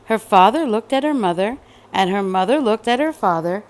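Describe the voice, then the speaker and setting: A woman reading a children's story aloud with expressive, emphatic, dramatic narration. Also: medium pitch, moderate pace. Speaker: storybook reader.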